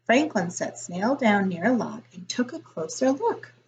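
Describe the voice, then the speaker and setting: A woman reading a picture book aloud as a teacher would, in a deliberate, expressive storytelling style. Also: medium pitch, lo-fi sound, a little hiss or hum.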